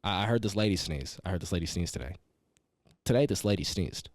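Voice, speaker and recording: A man's voice: sits low, animated, quick pace, no room sound, clean studio sound. A young adult man co-hosting a casual comedy podcast, swearing often, stumbling over his words and poking fun at himself. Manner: profane, stammering, self-deprecating. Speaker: podcast co-host.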